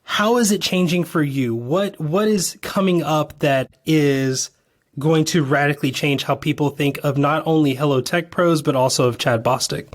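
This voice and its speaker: Podcast host, slightly raspy, tired, middling pitch, long enthusiastic questions. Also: moderate pace. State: tired from lack of sleep. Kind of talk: conversational interview.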